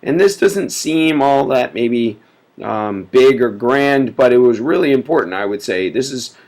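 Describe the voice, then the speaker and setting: A man's voice: medium pitch, lively intonation, a little slow, a little mellow. A man giving a casual recorded history lecture, full of filler words, sick and sniffly. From United States. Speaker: teacher.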